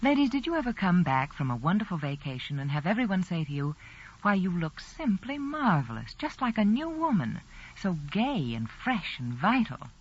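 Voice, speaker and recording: A woman delivering a scripted 1940s radio advert as a spokeswoman, persuasive and expressive. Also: lowish voice, moderate pace, slightly rough. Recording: muffled vintage radio broadcast.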